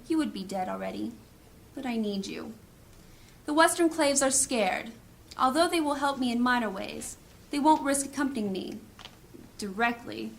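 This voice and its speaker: Hard, commanding, high-voiced thief woman. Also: gravelly, fairly bright tone, heavily acted. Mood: cold, persuasive. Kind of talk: fantasy audio drama acting.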